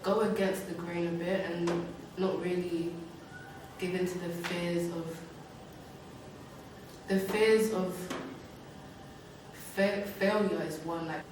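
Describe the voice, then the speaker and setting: A woman's voice: fairly low pitch, slow pace, pauses often. A woman in her late teens giving casual interview answers, emphatic, often saying "like" and "literally". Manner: animated, emphatic, heavy use of "like" and "literally". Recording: echoey room, mic far off, faint hiss.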